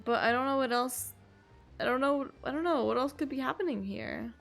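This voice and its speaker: A woman streams a game with casual live commentary. Her voice is fairly high with plenty of vocal fry, reacting aloud as she plays. Faint music runs underneath. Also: fairly quick, fairly bright tone.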